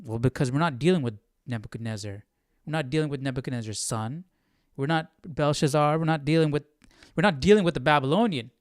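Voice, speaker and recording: A man hosts a live Bible study in a casual, animated way. His voice is fairly high and smooth, recorded dry and close. He thinks aloud, stopping and restarting as he goes. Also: fast talker, no echo.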